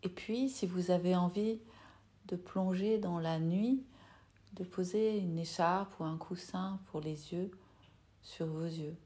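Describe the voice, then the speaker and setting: A woman yoga nidra teacher leading a guided meditation in a soft, soothing, unhurried way with long pauses. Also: fairly low pitch.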